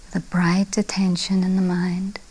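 A woman leading a guided meditation, speaking gently and softly in short, unhurried phrases with breathy endings. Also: fairly low pitch, monotone, low-quality recording. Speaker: meditation teacher.

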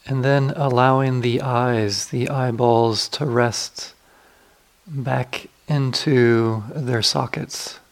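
Guy doing guided meditation, gravelly creak in his voice, mid pitch, lots of long pauses. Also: fairly even intonation. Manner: unhurried, gentle instructions with long pauses. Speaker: meditation teacher.

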